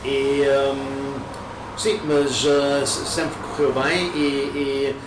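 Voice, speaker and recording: A man's voice: mid-range voice, level tone, drawn-out words. A German man speaking Portuguese as a guest, hesitant, with many fillers and restarts. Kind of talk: casual interview chat. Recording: echoey room, distant mic, noisy.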